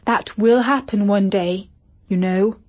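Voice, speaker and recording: A woman voicing a brave young girl who can do magic, speaking brightly and in a lively way. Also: medium pitch, slow pace, muffled recording.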